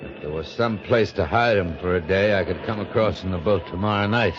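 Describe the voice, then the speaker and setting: Noisy vintage radio recording, actor playing low-pitched male killer, terse, wary, conspiratorial. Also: a little fast, close to an everyday voice. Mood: wary, secretive. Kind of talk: 1950s radio mystery acting. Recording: muffled, noisy old radio broadcast.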